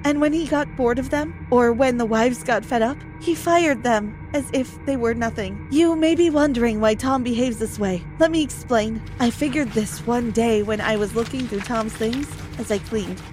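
A woman voicing a trapped young wife who records a soft, urgent warning. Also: a little high, moderate pace, few pauses, faint music behind, lightly acted, low-quality recording. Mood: explaining.

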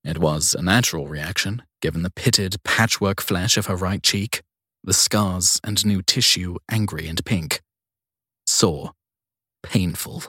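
A man with a fairly deep, husky voice narrates crime fiction as an audiobook. The sound is close and dry, with no room around it. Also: a little slow, bright, forceful tone, no room sound.

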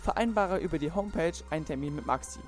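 Upbeat man reading an outro over music. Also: on the high side. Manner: punchy, salesy, quick. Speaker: podcast outro announcer.

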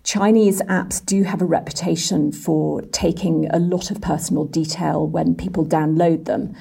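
A woman's voice: low-pitched, steady tone, normal speed, gravelly, mellow, slightly rough. A woman working as a journalist and editor, giving explanatory answers in an interview. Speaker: business and technology editor.

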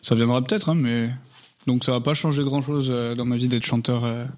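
A man's voice: mid-range voice, steady tone, fairly quick, darkish tone. A man, a podcast co-host and singer, chatting casually over drinks, laid-back and teasing back.

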